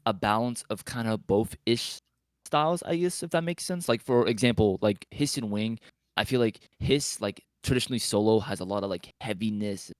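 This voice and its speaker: Lowish-voiced man in casual podcast discussion. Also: normal speed, smooth, dry recording. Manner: tentative, hedging, heavy use of "like". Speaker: podcast panelist. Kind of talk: casual chat.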